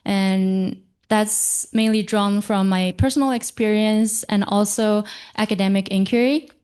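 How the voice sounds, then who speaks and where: Slow, smooth-voiced woman panelist. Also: medium pitch, steady tone, darkish tone. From Japan. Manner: slow, halting, with fillers and self-corrections. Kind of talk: conference panel talk.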